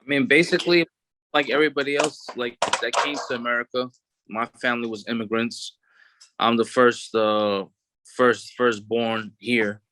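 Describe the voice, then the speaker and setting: A man's voice: mid-range voice, moderate pace, no room sound, rough recording. A man in his thirties with a New York accent, talking casually as an interview guest with lots of fillers and restarts. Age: 30s. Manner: relaxed street talk with many fillers and restarts.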